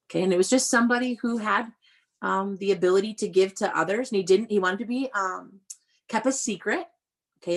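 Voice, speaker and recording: Woman teacher reading aloud to children. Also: medium pitch. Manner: animated, explanatory, addressing children with questions. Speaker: elementary school teacher. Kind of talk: read-aloud lesson to young children.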